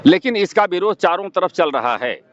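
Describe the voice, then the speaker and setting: A male Buddhist monk from Uttar Pradesh speaks with an eastern UP accent in a slightly raised pitch. He answers with defiant insistence, captured in muffled lo-fi sound. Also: sing-song, moderate pace, a bit bright. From Uttar Pradesh, India. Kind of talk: spontaneous interview answers.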